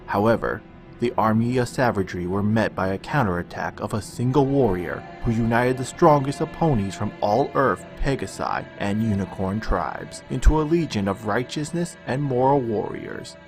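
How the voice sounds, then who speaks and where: A man giving a dramatic, measured narration of a fantasy storybook tale. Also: on the low side, faint music behind.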